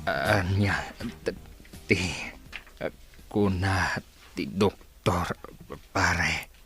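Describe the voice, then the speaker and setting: An actor playing a weak male stroke patient with broken, breathy, stammering speech in a radio drama. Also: low voice, extremely slow, bright, forceful tone, faint music behind, full character voice. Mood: weak, labored. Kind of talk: Filipino radio drama acting.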